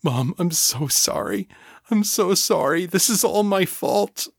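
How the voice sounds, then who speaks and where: Man playing shaky, whimpering young man, a bit raised pitch, dry audiobook sound. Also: animated, slow pace, gravelly, slightly rough, no room sound, heavily acted. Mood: tearful. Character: frightened remorseful young man.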